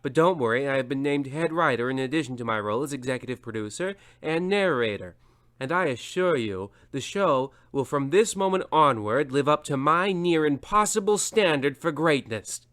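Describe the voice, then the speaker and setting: A man's voice: medium pitch, animated, normal speed, fairly bright tone, close to an everyday voice. A man playing a pompous, self-important show producer and narrator who gives a formal sign-off. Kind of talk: scripted radio sign-off.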